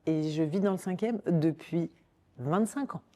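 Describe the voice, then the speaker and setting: Female interview guest, forties, Parisian French, low soft-edged voice, informal delivery that circles back and rephrases. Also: a little slow. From Paris, France. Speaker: podcast guest, communications director. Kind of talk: conversational interview, recounting own career.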